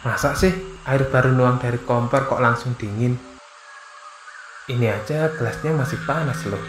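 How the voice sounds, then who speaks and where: A man voicing a young Indonesian hiker, a practical, concerned friend talking colloquially in an animated horror story. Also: medium pitch, normal speed, slight background noise, close to an everyday voice, rough recording. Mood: casual, practical. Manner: casual, colloquial. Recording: music behind the dialogue.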